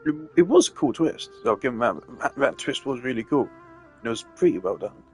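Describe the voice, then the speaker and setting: A man's voice: medium pitch, animated, fairly quick, a little mellow, soft background music. A man giving a casual, opinionated rant on a live stream, emphatic and exasperated in the way he talks.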